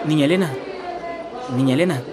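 A man's voice: a little high, brisk, very noisy, natural voice. An actor playing an earnest male camp helper in a radio soap, concerned, hesitant and respectful. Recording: busy crowd behind.

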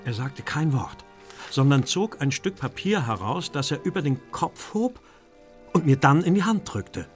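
A man's voice: medium pitch, lively intonation, measured pace, faint music behind, close to an everyday voice. A man acting an anxious, genteel Victorian art dealer in a radio crime drama, formally recounting his troubles in detail. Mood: tense recounting. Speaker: actor in a detective radio play.

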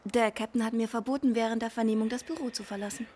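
Insistent female office clerk at a police station , played by an actress, high voice, rule-bound, some ambience, radio thriller. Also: fairly even intonation, fast talker, darkish tone, slight background noise, lightly acted. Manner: proper, insistent. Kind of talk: radio crime drama acting.

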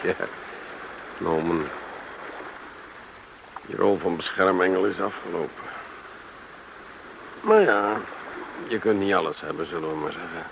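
An actor playing a brisk, dryly ironic rescuer in a Dutch radio drama. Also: male voice, low voice, vocal fry, full character voice. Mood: wistful. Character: brisk, wry adult male rescuer and adventurer. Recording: noisy old radio drama recording.

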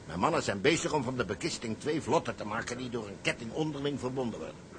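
Guy doing bossy scheming ship captain in a radio play, super sure of himself, theatrical, bit of rumbly noise. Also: animated, fast talker, close to an everyday voice. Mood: commanding. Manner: confident, theatrical, commanding. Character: cocksure, scheming salvage captain giving orders. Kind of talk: Dutch radio play acting.

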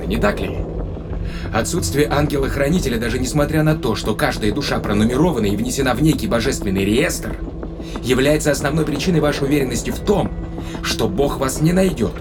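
A man's voice: mid-range voice, a little fast, background noise, lightly acted. A man acting a skeptical, defiant spaceship crewman in a science-fiction audio thriller, speaking in a probing, sardonic way. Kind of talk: dramatized sci-fi thriller audio play. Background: dramatic music.

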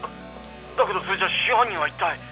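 A bright, forceful, fairly high voice an eager young detective who talks casually and presses with quick questions. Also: male voice, animated, faint music behind, exaggerated voice, rough recording. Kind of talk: studio radio drama.

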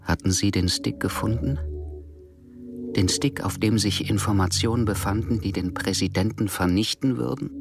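An actor playing a sober, deliberate man who narrates and questions in a radio thriller. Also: fairly low pitch, creaky, over music. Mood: sober, probing.

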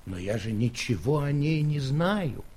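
A man's voice: mid-range voice, lively intonation, a little slow, a little mellow, breathy edge. An actor playing a pompous, mocking giant king with an ironic drawl in a children's audio play. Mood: sceptical wonder.

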